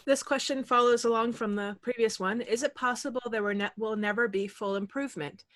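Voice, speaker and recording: A woman co-hosting a webinar, reading out questions and chatting in a relaxed, conversational way. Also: a little high, a little fast, dry recording. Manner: relaxed, conversational, uses fillers. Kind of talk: reading questions, casual chat.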